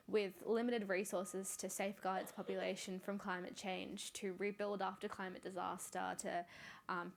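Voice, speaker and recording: A woman's voice: mid-range voice, creaky, slight background noise. A twenty-year-old woman, answering fluently and earnestly as a campaigner on a panel. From Australia. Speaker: youth climate campaigner, panellist.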